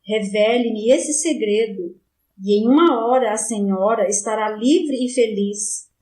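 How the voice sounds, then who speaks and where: A stern older cardinal, pressing and menacing, in a slow, measured cadence, as a woman narrator reads him. The voice sits a little high, and the room gives a noticeable echo. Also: a little mellow, close to an everyday voice. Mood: demanding. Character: stern older male English cardinal, coaxing and threatening. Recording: roomy echo.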